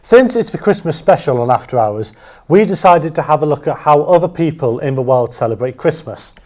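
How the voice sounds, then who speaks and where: A man's voice: on the high side, lively intonation, brisk, a little mellow. A man, co-hosting a studio chat show, upbeat and encouraging with his guests. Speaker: co-host.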